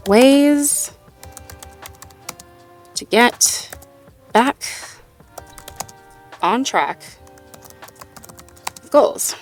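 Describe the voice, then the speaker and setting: A woman playing a supportive, playful girlfriend in an intimate one-sided roleplay audio, talking gently and encouragingly with light teasing and natural hesitations. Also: fairly high pitch, animated, very slow, long pauses, soft background music. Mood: playful, amused. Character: supportive, playful girlfriend helping partner problem-solve.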